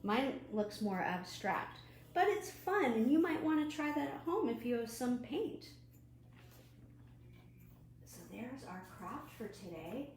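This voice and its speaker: A woman leading an online children's storytime and craft from home, animated and putting questions to young viewers. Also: a little high, slow. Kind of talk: children's storytime read-aloud and craft demonstration. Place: at home, indoors. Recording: roomy echo, faint background noise.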